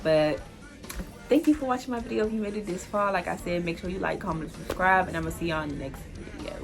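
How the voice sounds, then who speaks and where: Chatty young D.C. woman, low-pitched voice. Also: fast, creaky, music in the background. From Washington, D.C. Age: 20s. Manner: loose and chatty, heavy "like" fillers, easy laughter. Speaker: vlogger. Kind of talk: casual solo vlog explainer.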